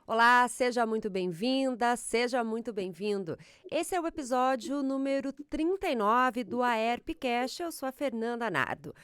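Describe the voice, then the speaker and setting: A woman's voice: fairly high pitch, lively intonation, measured pace, few pauses, clear, resonant tone, dry recording, clean studio sound. A woman hosting an interview podcast. She opens with a friendly scripted introduction and then asks her guests questions. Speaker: podcast host.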